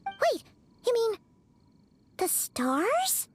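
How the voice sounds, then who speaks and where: A woman voicing a tiny, excitable female fairy-like companion in a video game who talks about herself in the third person. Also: very high voice, extremely slow, music in the background, exaggerated voice. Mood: surprised.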